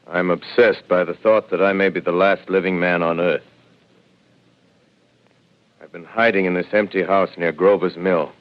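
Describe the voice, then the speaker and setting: A man's voice: sits low, lightly acted. An actor playing a haunted male professor, telling his story in a solemn, reflective voice in a 1930s radio drama. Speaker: narrator. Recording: dull old radio recording.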